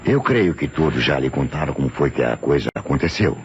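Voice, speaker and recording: A man's voice: rumbling low, expressive, music in the background. An actor with a Brazilian accent playing a polite male doctor giving careful, formal testimony in an old radio drama, on a muffled, noisy recording. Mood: earnest, explanatory. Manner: formal, explanatory, deliberate.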